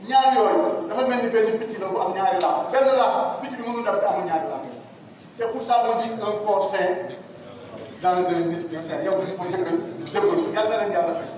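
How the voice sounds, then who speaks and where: A Senegalese man delivers a formal address of thanks in a very high-pitched voice. He repeats phrases for emphasis as he addresses a forum. The sound is heavily reverberant and picked up far from the microphone. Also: normal speed, a little mellow. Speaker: education official giving a speech of thanks at a forum. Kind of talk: public thank-you address at a community forum, switching between two languages. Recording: very echoey hall, far mic, dull and noisy.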